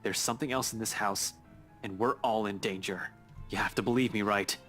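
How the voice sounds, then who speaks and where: A man's voice: lowish voice, fairly even intonation, brisk, a bit bright, soft background music, lightly acted. A man voicing a blunt, defiant intruder in a visual novel, terse, threatening and insistent. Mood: urgent, defiant. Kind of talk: dramatic visual novel read-aloud.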